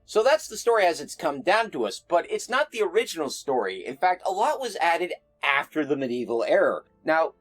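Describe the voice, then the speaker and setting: A man hosting an informal explainer video, speaking in a conversational, self-deprecating way. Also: medium pitch. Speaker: YouTube host of mythology and folklore videos.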